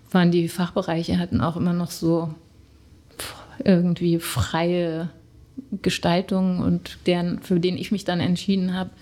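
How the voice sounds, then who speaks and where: A woman's voice: fairly low pitch, darkish tone. Hesitant German woman telling stories. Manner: hesitant storytelling, many fillers. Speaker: guest. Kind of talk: casual conversation.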